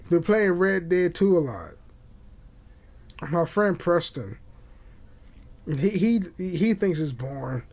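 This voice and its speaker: A young man hosting a podcast, chatting casually and haltingly, with repeated words and frequent swearing. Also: mid-range voice, creaky, a little mellow. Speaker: podcast host. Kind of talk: casual rambling chat with swearing. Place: small home room indoors. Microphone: condenser mic on boom arm.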